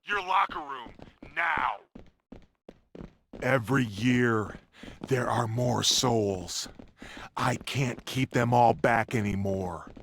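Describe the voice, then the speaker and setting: A man's voice: medium pitch, slow pace, vocal fry, long pauses, a bit bright, slight background noise. An actor playing a grave, urgent man who tends lost souls in a video game cutscene, solemn, terse and commanding.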